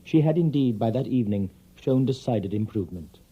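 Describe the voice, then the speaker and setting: An actor playing a measured, formal male asylum doctor in an audio drama. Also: medium pitch, expressive, slightly rough. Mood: regretful. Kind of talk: audio drama acting, narration. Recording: dull, muffled audio drama recording.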